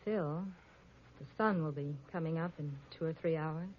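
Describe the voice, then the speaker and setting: Woman acting a coy but sharp-tongued femme fatale, old radio show, muffled with a bit of noise. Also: low voice, normal speed, lightly acted. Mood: coaxing. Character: beautiful, scheming femme fatale, coy, flirtatious, hard-edged. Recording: dull old radio recording, faint noise.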